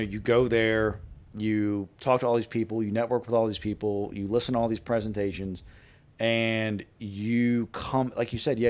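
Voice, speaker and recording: A man co-hosting a podcast, trading playful teasing banter in casual chat. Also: on the low side. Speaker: podcast co-host.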